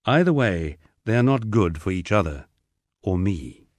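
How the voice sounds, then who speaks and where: A man's voice: deep voice, sing-song, unhurried, darkish tone, no echo. A man reading a first-person diary-style memoir aloud with dry, understated storytelling. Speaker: audiobook narrator.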